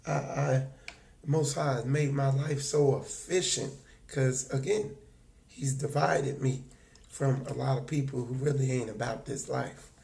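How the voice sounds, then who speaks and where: A man with a raspy, hoarse voice and a gravelly edge talks casually to his livestream viewers, pitched at an ordinary middle register. He rambles in halting, earnest bursts, restarting sentences as his just-woken voice strains along. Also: fairly even intonation, darkish tone. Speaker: livestream host.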